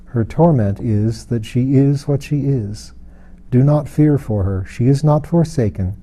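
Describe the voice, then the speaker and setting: A man voicing a grave, compassionate wise woman in a fantasy audiobook, speaking gently, slowly and with calm patience. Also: mid-range voice, natural voice. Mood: solemn, compassionate. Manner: gentle, slow, solemn. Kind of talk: dramatised audiobook reading of a fantasy novel.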